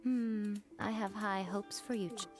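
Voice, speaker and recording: A woman's voice: mid-range voice, fairly even intonation, music in the background, close to an everyday voice. A woman voicing a poised, mysterious noble shrine priestess in an anime game, speaking formally with wry amusement. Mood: cryptic. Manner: formal, measured, wryly amused. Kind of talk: anime video game voice acting.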